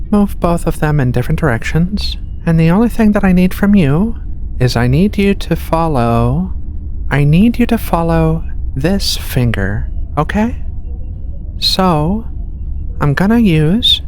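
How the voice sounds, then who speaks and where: A performer playing a giant furry alien medical researcher in a soft-spoken ASMR roleplay, gentle and reassuring with casual, hesitant phrasing. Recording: steady low ship rumble behind voice. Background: low rumbling spaceship ambience.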